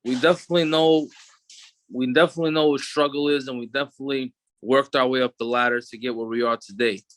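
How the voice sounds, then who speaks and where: A man's voice: mid-range voice, quick pace, no room sound, rough recording. Man, 30s, new york accent, laid-back rambling talk, dry close sound. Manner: relaxed street talk with many fillers and restarts. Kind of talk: casual interview.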